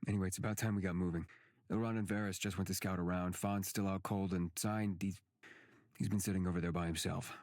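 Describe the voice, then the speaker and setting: An actor playing a blunt, grumbling but caring travelling companion in a visual novel game, with a dry, informal delivery. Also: male voice, sits low, fairly even intonation, fast talker, darkish tone, no room sound. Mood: concerned.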